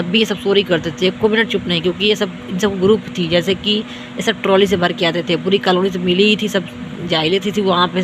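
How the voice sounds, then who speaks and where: A hoarse, tired young woman from India, talking to her viewers in a repetitive, boastful and scolding way. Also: lowish voice, background noise. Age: young adult. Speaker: vlogger. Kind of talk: self-filmed rant to viewers.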